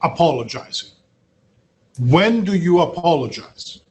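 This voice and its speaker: A man's voice: on the high side, animated, unhurried, a little mellow. Hesitant male lecturer. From Belgium, raised in Italy. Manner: conversational, hesitant with many fillers. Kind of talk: university lecture. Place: classroom.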